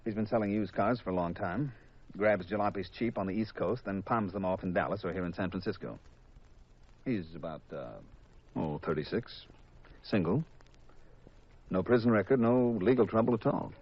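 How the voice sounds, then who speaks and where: A man's voice: fairly low pitch, normal speed, pauses often, natural voice. An actor playing a shrewd, cynical insurance company man, businesslike and emphatic, in 1950s radio drama style. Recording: dull vintage radio recording.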